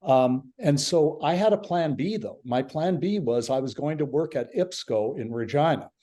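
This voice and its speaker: Mellow-voiced man asking a question. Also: a little high, lively intonation, normal speed. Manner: friendly, filler-heavy. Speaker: audience member asking a question. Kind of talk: asking a question on a video call.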